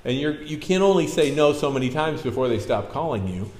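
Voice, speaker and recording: A man's voice: mid-range voice, animated, brisk, darkish tone. A middle-aged Canadian pastor delivers a sermon in a plain, conversational way, often turning questions to his listeners. His mid-range voice rings through a roomy church hall with a long tail of reverb. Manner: conversational, asks the congregation questions. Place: church hall with a projector screen.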